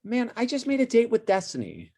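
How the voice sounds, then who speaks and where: A man's voice: extremely high pitch, huge pitch swings, brisk, warm tone, exaggerated voice. A man playing a defensive, casual slacker of a brother in an amateur table read over a video call.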